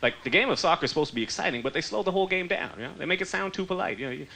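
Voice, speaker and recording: A man in his thirties from Trinidad, raised in Canada, performing an animated, conversational stand-up comedy set on a handheld mic in a theater. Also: on the high side, very fast, vocal fry, rough recording. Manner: animated, conversational, slangy delivery. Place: large theater stage before a live audience. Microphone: handheld stage mic. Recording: live theater audio with audience noise.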